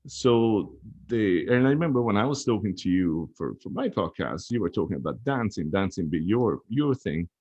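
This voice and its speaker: A man's voice: on the low side, sing-song, moderate pace, few pauses, a little mellow, husky, muffled recording. A man chatting as a podcast guest, telling stories in a reflective, easygoing way. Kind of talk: casual chat.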